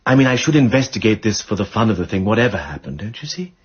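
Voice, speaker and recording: An actor playing a witty, voluble aristocratic amateur detective in a radio drama, with an upper-class English accent and flippant period slang. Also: male voice, lowish voice, very animated, fast talker, slightly rough, lo-fi sound, heavily acted. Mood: earnest, bashful.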